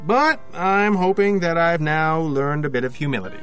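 A man's voice: a little high, normal speed, fairly bright tone, soft background music, close to an everyday voice. A man playing a lonely, self-doubting perfectionist with a hesitant manner in an audio drama. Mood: resigned, earnest.

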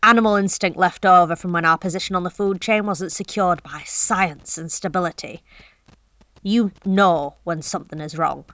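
An actress playing a delivery driver who tells a horror story in the first person, wry and confiding. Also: female voice, lowish voice, normal speed, fairly bright tone, no room sound. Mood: uneasy. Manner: wry, confiding, self-deprecating. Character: wry, uneasy woman courier.

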